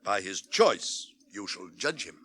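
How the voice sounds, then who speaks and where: An older actor with a British accent playing a stern, imperious old wizard in a theatrical fantasy radio play. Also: male voice, on the low side, sing-song, fairly bright tone, husky, exaggerated voice. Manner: imperious, measured, declamatory.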